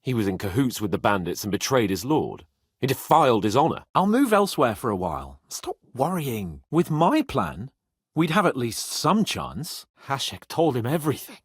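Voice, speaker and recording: A man's voice: mid-range voice, very animated, normal speed, breathy edge, dry recording, clean studio sound. A man playing a cocky, haughty young medieval nobleman in a video game, sardonic, emphatic and brash. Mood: wry, frank. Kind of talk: video game character dialogue.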